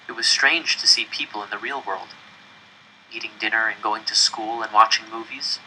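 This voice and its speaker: A man reading a first-person novel aloud as a dramatic, deliberate and expressive narrator. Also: on the low side, a little hiss or hum, poor audio. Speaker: audiobook-style reader.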